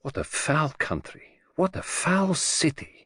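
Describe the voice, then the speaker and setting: A man's voice: fairly high pitch, sing-song, a little slow, bright, forceful tone, slightly rough, no echo, clean studio sound, voice pushed up, heavily acted. A British man voicing a restless, disgusted forty-year-old man in an audiobook, emphatic and exclamatory. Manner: emphatic, exclamatory, searching for words.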